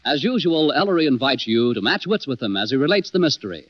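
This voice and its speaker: A man's voice: medium pitch, big pitch swings, fast talker. An American man reading a formal, declamatory scripted introduction as a 1940s radio announcer. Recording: muffled 1940s radio recording with organ.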